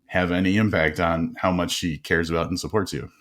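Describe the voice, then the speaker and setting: A man hosting an interview podcast, telling quick stories in a casual, animated way with frequent laughs and restarts. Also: sits low. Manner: animated storyteller, laughs often, many restarts. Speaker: podcast host.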